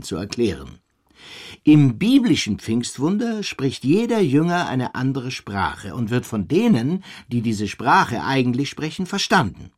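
Earnest, animated scholarly man. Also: medium pitch. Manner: elevated, emphatic, bookish wording. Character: earnest, irritable male scholar enraptured by a miracle. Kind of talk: German radio play acting.

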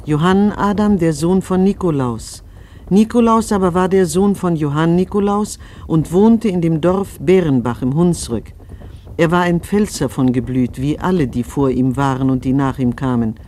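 A man playing a solemn chronicler, reciting a family history in measured scriptural style for a radio play. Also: fairly high pitch, a little mellow, slight background noise, lightly acted.

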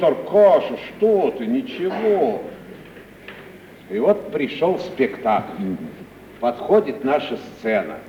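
An actor playing a meek, plaintive provincial comedian in an old radio play, fussing and repeating himself in whining protest. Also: male voice, fairly high pitch. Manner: stammering repetitions, whining, fussy protest. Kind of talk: mid-century Russian stage radio acting. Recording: muffled, noisy, roomy 1950 radio recording, off-mic.

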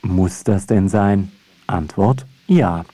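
Deep-voiced man narrating with dry irony. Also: slow, over loud music. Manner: wry, dryly ironic. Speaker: narrator of a radio feature. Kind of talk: scripted essay narration.

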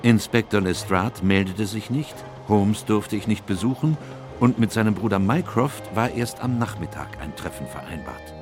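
A composed Victorian gentleman played by an actor narrates a detective drama in a low voice. Music plays clearly behind his scripted account. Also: lively intonation, normal speed, lightly acted. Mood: composed, reflective. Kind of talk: scripted narration in German radio crime drama.

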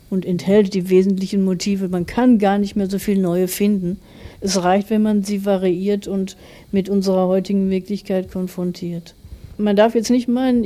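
Mellow-voiced, thoughtful middle-aged woman. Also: mid-range voice. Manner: thoughtful, explaining in long winding sentences. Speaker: interviewed writer and theologian. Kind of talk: reflective interview talk.

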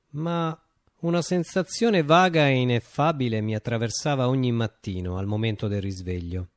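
A man performing a radio monologue as a reflective revolutionary recalling a utopian uprising, with deliberate phrasing and dramatic pauses. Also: medium pitch, no room sound, natural voice.

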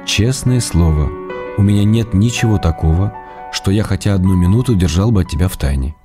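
An actor playing an ailing middle-aged writer in a radio drama, reading his love letters in an intimate, measured, wry way. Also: low voice, lively intonation, over music. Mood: tender, weary.

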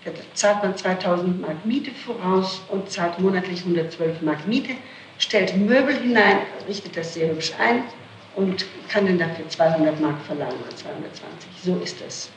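A woman's voice: fairly low pitch, moderate pace. A middle-aged woman answering questions in a recorded interrogation, emphatic, indignant and defensive, on an old tape. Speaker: accused being questioned. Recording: old echoey, noisy tape, distant mic.

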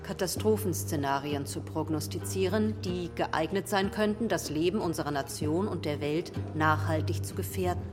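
A woman's voice: fairly low pitch, lightly acted. Female professor, played by an actress, formal, grave briefing, radio drama, long reverb, music. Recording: long reverb of a large hall.

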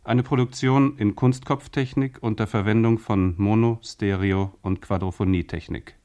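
A man giving a measured, formal scripted announcement in 1970s radio style. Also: fairly low pitch, steady tone, warm tone.

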